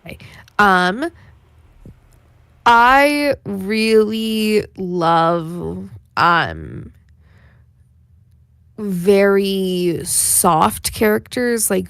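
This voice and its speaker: A woman's voice: fairly low pitch, gravelly, no room sound, clean studio sound. A woman chatting informally as a panelist, with hesitant starts to her thoughts. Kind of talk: casual chat.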